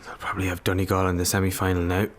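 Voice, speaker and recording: A man playing a weary, calming, plain-spoken Irish father in a naturalistic radio drama. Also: deep voice, very fast, a little hiss or hum, lightly acted. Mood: casual.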